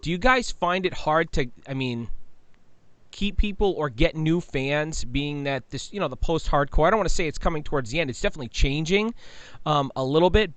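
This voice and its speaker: A man's voice: on the high side, smooth, no room sound. A man hosting a casual interview, talking conversationally as an enthusiastic music fan. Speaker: podcast interviewer.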